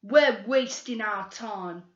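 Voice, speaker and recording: A woman voicing a gruff middle-aged male detective who speaks in blunt, impatient commands. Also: mid-range voice, takes it slowly, close to an everyday voice. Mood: brusque. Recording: roomy, slightly dull recording.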